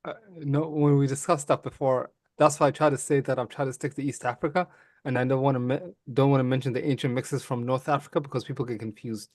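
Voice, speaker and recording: A man whose first language is Somali, calmly explaining mixed ancestry in a casual podcast chat. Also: mid-range voice, quick pace, no room sound, clean studio sound.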